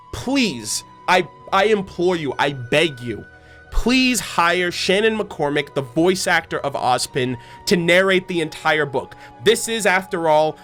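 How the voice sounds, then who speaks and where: Higher-voiced man, enthusiastic fan commentary. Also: fairly bright tone. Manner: enthusiastic, rambling. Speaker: host of a fan channel about an animated series.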